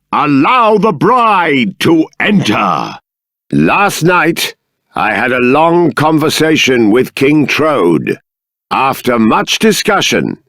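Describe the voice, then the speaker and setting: A man's voice: mid-range voice, a bit bright, no room sound, studio quality, full character voice. An actor playing a stern, dignified middle-aged king in a video game, with formal, regal, emphatic diction. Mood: solemn, firm. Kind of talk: dramatic game character dialogue.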